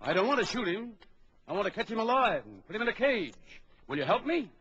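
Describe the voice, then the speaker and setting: An actor playing a bold big-game trapper in an old children's adventure record, briskly instructing and giving orders. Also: male voice, sits high, big pitch swings. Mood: confident, instructive. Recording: dull old record with background crowd noise.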